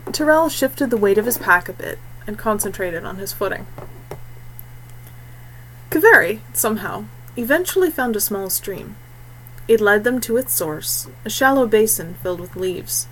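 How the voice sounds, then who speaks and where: A woman's voice: on the high side, animated, unhurried, rough recording. Woman narrating a story over noticeable noise. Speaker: audiobook narrator reading a fantasy novel.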